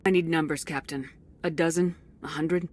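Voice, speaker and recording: An actress playing a blunt, no-nonsense military commander in a video game, terse, firm and direct. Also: female voice, deep voice, steady tone, moderate pace, a little mellow. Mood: terse, businesslike. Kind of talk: scripted video game acting.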